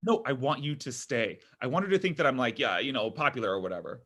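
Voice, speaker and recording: A man's voice: mid-range voice, lively intonation, fast talker, fairly bright tone. A man reading an anxious, awkward young boyfriend. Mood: scheming. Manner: nervous, fidgety delivery with awkward laughs. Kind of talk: table read of a comedy script.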